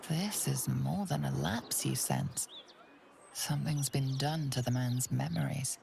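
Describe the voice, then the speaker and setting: A very gravelly fantasy game narrator. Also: male voice, mid-range voice, sing-song, measured pace, darkish tone, exaggerated voice. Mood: ominous. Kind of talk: scripted second-person game narration.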